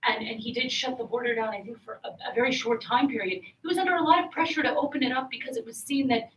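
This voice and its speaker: A woman's voice: fairly high pitch, fast talker, a bit bright, muffled recording. A woman on a policy panel talking in a hesitant way with many fillers. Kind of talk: panel discussion. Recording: echoey room, distant mic, faint noise.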